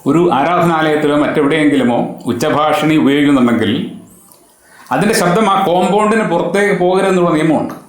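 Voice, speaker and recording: A man delivering an admonishing, emphatic opinion monologue straight to the public, posing rhetorical questions. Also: a little high. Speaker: social commentator. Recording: very echoey, reverberant room.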